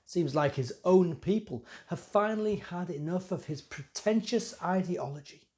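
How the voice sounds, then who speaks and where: A man's voice: high voice, animated, moderate pace, warm tone, pitched above the performer's own voice. A man playing a young temple priest, a self-important, scheming conspirator, confiding in a theatrical, musing monologue. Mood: smug, relieved. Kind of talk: dramatic monologue.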